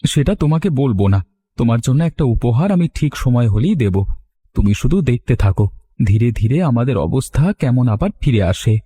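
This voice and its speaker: A man acts a boastful, sly village rogue in an audio story. His voice is husky and mellow, swinging widely in pitch. He cajoles with a swagger. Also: expressive, moderate pace.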